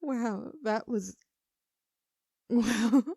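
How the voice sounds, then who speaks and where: Casting: actress playing nervous, teasing young best friend in love, earnest and conversational, intimate audio roleplay, very dry close sound. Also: female voice, on the high side, extremely slow, creaky, warm tone, no echo, heavily acted. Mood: elated. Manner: playful, teasing, earnest, slightly flustered.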